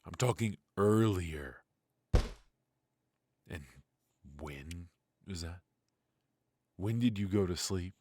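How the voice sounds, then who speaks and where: A man playing a weary, ruthless yet fatherly mafia boss in an intimate ASMR roleplay, with a low, husky, breathy voice and a wry, sighing delivery. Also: a little mellow, exaggerated voice. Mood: dry, probing. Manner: slow, pausing, sighing, wry.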